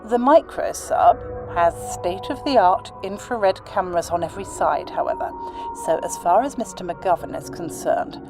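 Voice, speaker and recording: An actress playing a woman giving a measured briefing on the submarine. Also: lowish voice, over music, lightly acted. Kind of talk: audio drama acting.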